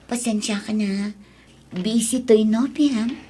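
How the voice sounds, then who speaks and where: Ambitious, sweet-talking young girlfriend: high voice with a husky edge, Ilocano accent, breezy coaxing, radio drama. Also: slow, mellow. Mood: proud, teasing.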